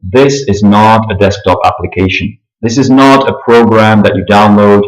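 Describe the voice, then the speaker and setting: A man with a Czech accent walking through software setup, pausing often with fillers and clearing his throat. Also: fairly low pitch, echoey room, poor audio. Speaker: software tutorial presenter. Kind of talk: screen-recorded software walkthrough.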